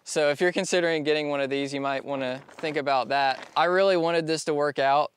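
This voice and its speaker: A man's voice: fairly high pitch, fairly even intonation, a little fast, smooth. A young man in his twenties, chatting casually outdoors on a rural property as a DIY vlogger, easygoing and laughing often. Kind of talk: casual DIY vlog talk. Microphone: lapel or on-camera mic.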